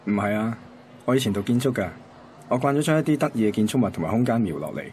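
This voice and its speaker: A man's voice: fairly low pitch, animated, quick pace, darkish tone, a little hiss or hum, lightly acted. An actor playing an easygoing, reflective young man from a radio drama, with a Hong Kong accent. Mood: easygoing, coaxing. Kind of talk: radio drama dialogue and narration.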